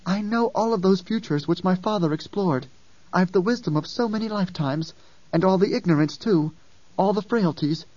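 A grave, intense young girl who speaks as if she remembers whole lifetimes, as a male reader lifts his voice for her. Her lines come out pitched up and a little distant, on a dull, dry recording with little room around it. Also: moderate pace, darkish tone, dry recording. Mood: anguished intensity. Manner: intense, grave, sometimes pleading. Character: fierce young girl with ancient memories.

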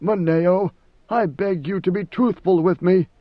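A man voicing a commanding ancient god emperor who speaks in a grave, measured, lecturing way. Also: sits high, no room sound, muffled recording, voice pushed up. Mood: stern.

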